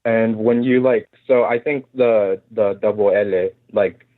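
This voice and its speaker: A man's voice: on the low side, fairly even intonation, measured pace, no room sound. Polite young man on a tinny phone line. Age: young adult. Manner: polite, friendly. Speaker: caller, university student. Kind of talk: casual phone call.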